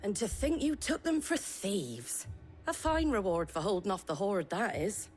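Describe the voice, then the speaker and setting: A woman's voice: mid-range voice, very animated, measured pace, darkish tone. A woman playing a tough, plain-spoken innkeeper in a video game, wry, blunt and matter-of-fact. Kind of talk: dramatic cutscene acting. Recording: music behind the voice.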